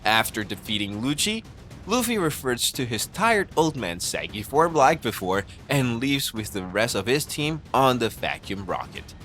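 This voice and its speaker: A man's voice: mid-range voice, big pitch swings, normal speed, a bit bright. A man reading scripted narration for an explainer video, recorded as a voice-over. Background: background music.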